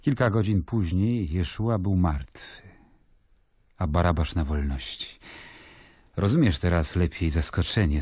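An actor playing a stern, brooding Roman governor in an audio drama, solemn and commanding. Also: male voice, extremely low pitch, dark tone, breathy edge, heavily acted.

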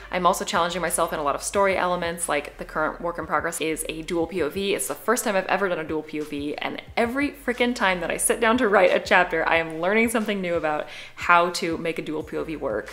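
Fast-talking young woman vlogging from her sofa. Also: fairly low pitch, a bit bright. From Midwest USA. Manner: chatty, upbeat, gestures while talking. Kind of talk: casual talk to camera. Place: living room sofa, indoors.

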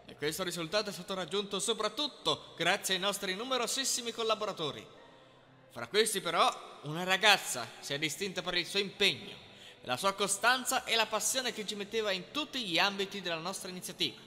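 A man playing a formal, measured gala presenter giving a ceremonious speech in an audio roleplay. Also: high voice, lively intonation, bright, forceful tone, soft background music, exaggerated voice. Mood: formal, grateful. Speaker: event presenter. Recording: long hall reverb.

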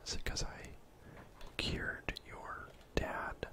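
A young man whispering casual, gently chatty ASMR game commentary in a soft, breathy voice. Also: very slow. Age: young adult. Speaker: gaming ASMR video host. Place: indoor room at home.

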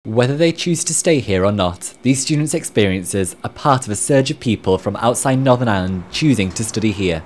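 A man's voice: on the low side, lively intonation, brisk, few pauses, slight background noise. A young man reporting a television news story, reading a scripted piece to camera outdoors on a university campus. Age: young adult. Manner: crisp formal delivery.

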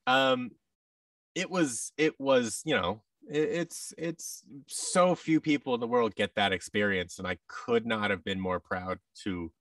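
American man, thirties, lively swooping pitch, casual storytelling, dry sound, a little far from the mic. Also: measured pace, gravelly, dry recording. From Seattle, United States. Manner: animated, anecdotal, acts out quoted thoughts. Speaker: interview guest, actor. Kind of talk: casual interview chat. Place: large furnished indoor room, video call. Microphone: boom mic and headset, slightly off-mic.

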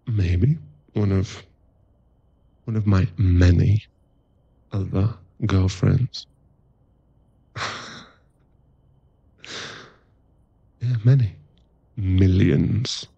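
Teasing, mock-offended boyfriend, acted by a man for intimate audio roleplay; mellow low voice, slightly muffled close recording. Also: creaky, slightly rough, no echo, heavily acted. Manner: teasing, halting, intimate one-sided chat.

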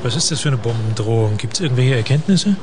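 An actor playing an impatient, weary government minister in a radio play, speaking curtly. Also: male voice, mid-range voice, animated, fast talker, loud music behind the voice, natural voice. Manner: curt, questioning. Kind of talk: radio play acting, clipped dialogue.

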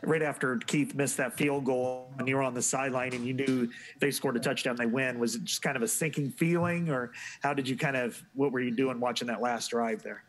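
A man's voice: mid-range voice, steady tone, brisk, poor audio. A man, a sports reporter, asking long, rambling questions at a press conference.

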